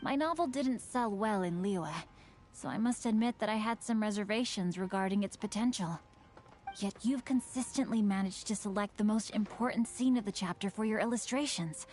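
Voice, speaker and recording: A woman's voice: mid-range voice, normal speed, a little mellow, soft background music, close to an everyday voice. A woman voicing a polite, bookish boy novelist in a video game, formal and articulate. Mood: grateful.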